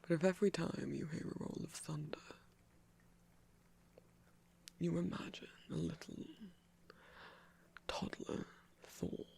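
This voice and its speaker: A man voicing a gentle trickster god in an ASMR roleplay, whispering slowly and soothingly in a breathy, creaky voice with refined, hesitant phrasing. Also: a little high, level tone, heavily acted. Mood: tender, reassuring.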